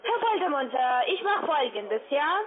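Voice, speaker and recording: High-voiced woman with foreign accent, tinny line. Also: big pitch swings, normal speed. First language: not German. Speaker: telephone sales account manager. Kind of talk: scripted cold-call sales talk. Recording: narrow tinny phone line.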